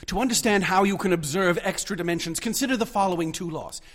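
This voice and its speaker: Eager, high-voiced obsessive young student. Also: male voice, fast talker, fairly bright tone. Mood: insistent, excited. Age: young adult. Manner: insistent, interrupting, lecturing. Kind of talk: radio drama acting.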